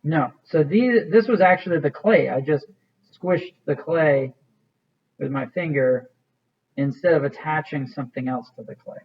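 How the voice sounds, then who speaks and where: A man's voice: on the high side, a little slow, husky, muffled recording. A man teaching an art class, walking students through a clay project in a casual, rambling way with many fillers. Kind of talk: classroom demonstration.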